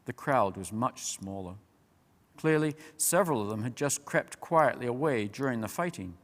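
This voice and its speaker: A man reading a children's fantasy story aloud as a measured, expressive, dramatic narrator. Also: mid-range voice. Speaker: storyteller, reader. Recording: long reverberant hall.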